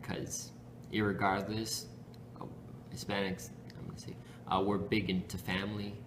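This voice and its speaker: A young college student from Chicago, answering interview questions hesitantly, with many fillers. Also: male voice, fairly low pitch, flat delivery, moderate pace, background noise. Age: young adult.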